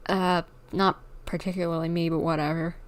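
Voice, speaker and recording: Low-voiced woman game streamer, sardonic. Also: level tone, moderate pace, slight background noise. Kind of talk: casual live reactions to a game.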